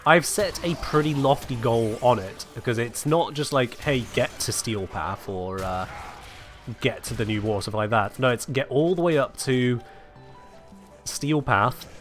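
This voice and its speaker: A man's voice: medium pitch, a little slow, soft background music. A man streaming a game while sick, chatting casually and answering his chat, with a cough now and then.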